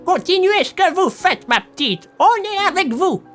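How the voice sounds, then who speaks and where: A woman's voice: very high voice, very animated, moderate pace, fairly bright tone, faint music behind, heavily acted. A woman voicing an outspoken, grumbling old village lady in an audio drama. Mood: fervent support.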